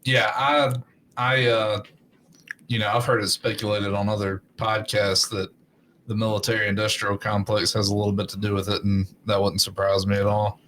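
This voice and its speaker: A man's voice: lowish voice, little pitch movement, moderate pace, a bit bright, a little hiss or hum. A man in his thirties hosting a casual podcast interview, easygoing and agreeable. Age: 30s. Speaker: podcast host.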